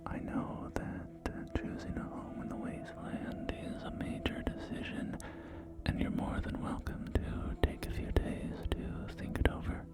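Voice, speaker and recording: A man playing a polite, salesy post-apocalyptic real estate agent in a whispered, breathy ASMR roleplay, with courteous, hesitant pauses. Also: close to an everyday voice. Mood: courteous, pleased. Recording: soft game music behind. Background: music and game audio.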